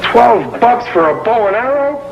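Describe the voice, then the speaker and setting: High-pitched, blustery sitcom dad. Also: male voice, very animated, a little slow, vocal fry, soft background music, exaggerated voice. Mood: stubborn, instructing. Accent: American. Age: middle-aged. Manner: blustery, stammering, exasperated. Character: blustering, stubborn bumbling sitcom dad, proud handyman. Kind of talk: 1950s television sitcom acting. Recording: muffled, noisy old 1950s television soundtrack.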